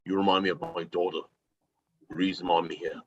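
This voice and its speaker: A man playing a gruff, guarded mercenary father with a husky voice and terse delivery in an amateur table read. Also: low voice, level tone, brisk, slight background noise, natural voice. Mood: haunted, protective. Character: gruff, guarded middle-aged mercenary father, quietly protective. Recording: dull lo-fi microphone.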